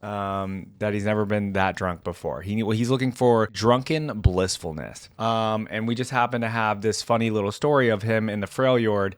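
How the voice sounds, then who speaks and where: A man's voice: lowish voice, normal speed, few pauses, smooth, no echo. A man hosting a reaction video in a casual, informal, easygoing way, commenting and reading aloud into a close microphone.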